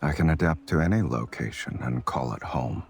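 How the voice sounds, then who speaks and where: An actor playing a confident, teasing and tender male love interest in a romance game, with a husky voice. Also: rumbling low, lively intonation, normal speed, faint music behind, heavily acted. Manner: smooth-talking, playfully teasing.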